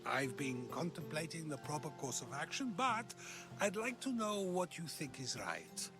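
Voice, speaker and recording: High-voiced, inquisitive Greek philosopher. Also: male voice, very animated. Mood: musing, inquisitive. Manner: inquisitive, measured, rhetorical questioning. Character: male ancient Greek philosopher, probing, wry, talkative. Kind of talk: video game cutscene acting. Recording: music behind the voice.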